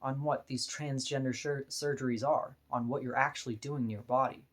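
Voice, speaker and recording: A woman with a deep voice, talking bluntly and frankly in a candid personal vlog monologue, laughing now and then between points. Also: steady tone, fairly quick. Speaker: vlogger.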